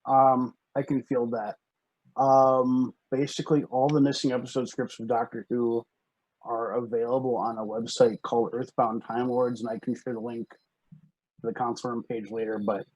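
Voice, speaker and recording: A man with a husky voice talking casually as a director on a video call panel. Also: mid-range voice, a little mellow, poor audio. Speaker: director and script adapter. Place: remote group video call.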